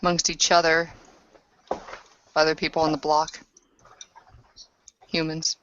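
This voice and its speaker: Woman, lower pitch, conversational tabletop roleplay, muffled lo-fi recording. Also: flat delivery, unhurried, fairly bright tone, slight background noise. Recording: muffled, dull lo-fi audio.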